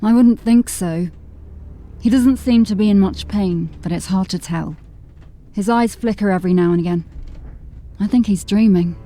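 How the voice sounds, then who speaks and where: A woman's voice: medium pitch, moderate pace, long pauses, mellow, natural voice. Woman playing an alert young constable. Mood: worried. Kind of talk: audio drama acting.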